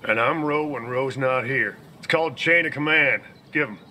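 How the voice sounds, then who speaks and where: A man's voice: medium pitch, normal speed, sharp tone, a little hiss or hum. A man voicing a blunt, commanding army officer who brushes people off. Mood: gruff. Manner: curt, commanding. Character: gruff male officer, blunt, dismissive. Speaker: army lieutenant. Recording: cutscene with score music.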